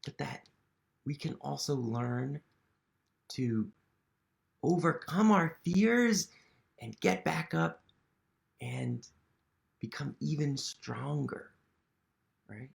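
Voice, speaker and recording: A man reading a picture book aloud to children, gently asking them questions and sometimes dropping to a whisper. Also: a little high, expressive, extremely slow, creaky, pauses often. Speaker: museum storytime reader.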